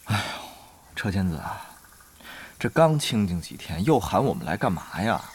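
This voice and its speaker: A man's voice: lowish voice, very animated, normal speed, a little hiss or hum. A man playing a wry, cautious online guild leader in a Mandarin audio drama, weighing things aloud sceptically. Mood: wry, teasing. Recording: music behind the dialogue.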